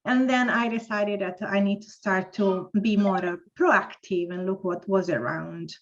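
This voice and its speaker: A woman's voice: medium pitch, fairly even intonation, measured pace. A woman with an Italian accent speaks thoughtfully, stopping now and then to find the right words as she tells her story. Her voice sits in an ordinary middle range. The audio is muffled and lo-fi. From Italy. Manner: reflective, searching for words. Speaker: panel speaker. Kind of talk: telling her career story. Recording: dull lo-fi audio.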